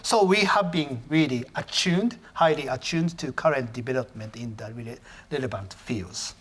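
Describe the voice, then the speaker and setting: A man's voice: mid-range voice, husky. Japanese-native man giving an academic lecture. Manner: halting, self-correcting phrasing.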